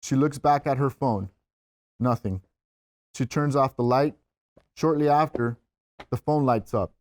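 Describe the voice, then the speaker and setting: A man's voice: medium pitch, measured pace, a little mellow, no echo. A man reading scripted stage directions as the narrator at a table read.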